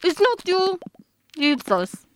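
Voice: extremely high pitch, sing-song, slow pace, fairly bright tone, no room sound, heavily acted. A performer voicing a prim, blunt pet goldfish in a comic radio sketch, awkwardly polite in delivery. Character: Floaty, squeaky dead pet goldfish, prim blunt leader.

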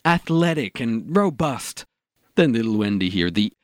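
A man playing a gloomy, philosophical and tactless character in a comedy audio drama, speaking in a deliberate, dour way. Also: medium pitch, big pitch swings, no room sound, clean studio sound. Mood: tactless, blunt.

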